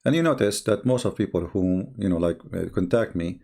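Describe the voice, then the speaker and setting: A man hosting a live broadcast in a casual, halting way, his voice strained and tired. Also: low-pitched, lively intonation, creaky, a little mellow. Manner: halting, reads viewer messages aloud slowly. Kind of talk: casual live-stream talk.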